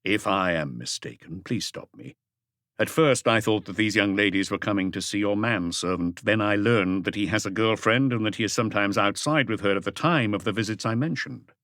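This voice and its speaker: Man playing a low-voiced, probing police detective. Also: fairly bright tone, dry recording, studio quality. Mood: tactful, probing. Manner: formal, deliberate, probing. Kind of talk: audiobook character dialogue.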